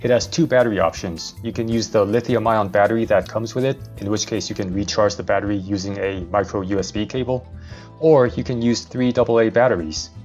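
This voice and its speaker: A man's voice: on the low side, fairly even intonation, brisk, a little mellow, soft background music. A young man hosting a vlog, narrating a casual product review.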